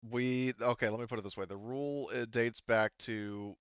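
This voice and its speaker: A man's voice: mid-range voice, steady tone, normal speed, a bit bright, clear, resonant tone, no echo, dull audio. A man acting as game master, explaining rules casually and rephrasing as he goes in a hesitant way.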